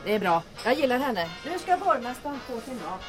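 An actress playing a brisk, friendly, slightly bossy small-town barmaid in a comic radio drama. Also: female voice, a little high, sing-song, over music.

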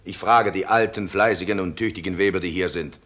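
An actor in a German radio play, playing a domineering, self-righteous mill owner who blusters and commands. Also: male voice, low-pitched, a little fast, a bit bright. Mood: self-righteous, lecturing.

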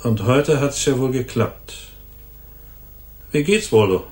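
A man's voice: mid-range voice, animated, takes it slowly. An actor playing a cynical, hardened ex-convict gunman in a radio crime drama, talking in sneering, slangy tough-guy phrases. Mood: bitter, smug. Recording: roomy studio echo.

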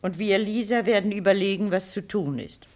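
An actress plays an elderly spinster in a radio drama, proud and sharp-tongued. She gives curt orders in a brisk, dry manner, at a medium pitch for a woman. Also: natural voice. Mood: stern, indignant. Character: stern, sharp-tongued old spinster sister, proud of her house. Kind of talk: German radio play acting.

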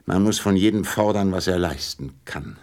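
A man's voice: low-pitched, moderate pace, darkish tone. An actor playing a pompous but kindly old king in a radio play, issuing grand commands with hesitant stammers. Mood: pompous, commanding. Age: older.

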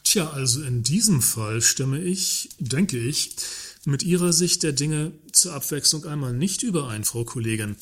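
Man acting husky, slyly ironic staff representative. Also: on the high side, lively intonation, a little slow. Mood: mock-earnest. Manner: wordy, mock-earnest, provocative, hedging politely. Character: wordy, slyly ironic male works council member. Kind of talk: satirical radio play dialogue.

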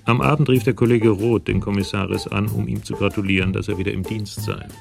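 An actor narrating a radio crime play soberly and matter-of-factly. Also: male voice, lowish voice, steady tone, fairly quick, vocal fry, soft background music.

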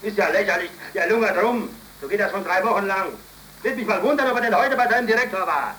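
A man's voice: high-pitched, quick pace, bright, forceful tone. An actor playing a stern, bullying working-class father who scolds and commands, in a 1930s radio drama. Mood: grumbling, indignant. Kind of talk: 1930s German radio play acting. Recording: noisy, roomy 1930s radio recording.

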